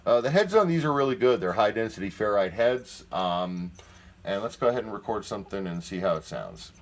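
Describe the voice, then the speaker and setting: A man's voice: on the low side, lively intonation, a little fast. A man giving a casual, unscripted product demonstration in a plain-spoken, candid and somewhat hesitant way. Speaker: presenter of a used tape deck demonstration. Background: music playing from the tape deck at times.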